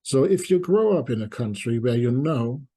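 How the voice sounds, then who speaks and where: Middle aged guy, mellow n kinda raspy, talking thru his thoughts carefully in short bits. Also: mid-range voice, expressive, moderate pace. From East Germany. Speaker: commentator presenting a video essay. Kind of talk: reflective spoken commentary to viewers.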